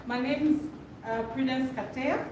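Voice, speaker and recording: A middle-aged woman with a Zambian accent presents herself formally and without a script. Her pitch is average. She sounds distant from the mic, in a noisy room with heavy echo. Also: steady tone, a little slow. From Luapula Province, Zambia. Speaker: course participant. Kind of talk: impromptu formal self-introduction.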